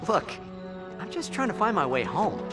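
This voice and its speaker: An actor playing a young, cocky, good-hearted hero in a game cutscene, casual and wry, with music behind. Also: male voice, high-pitched, huge pitch swings, normal speed. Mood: reluctant. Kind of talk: animated game cutscene acting.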